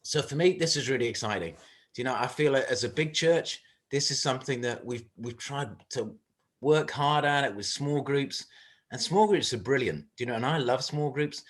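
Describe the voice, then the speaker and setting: Man, church group leader, mid-pitched voice, earnest and conversational, informal address over video call. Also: lively intonation, brisk.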